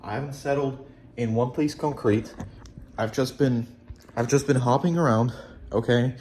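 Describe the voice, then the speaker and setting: A man's voice: medium pitch, moderate pace, darkish tone, slight background noise. Young man with slight Polish accent. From Poland. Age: young adult. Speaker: vlogger. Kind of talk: casual video journal monologue. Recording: echoey room, faint ambience.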